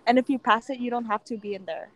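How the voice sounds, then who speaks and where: A young woman chatting casually with friends, animated and teasing. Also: on the high side, quick pace, low-quality recording. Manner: animated, teasing, reacts loudly. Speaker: guest. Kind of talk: casual chat.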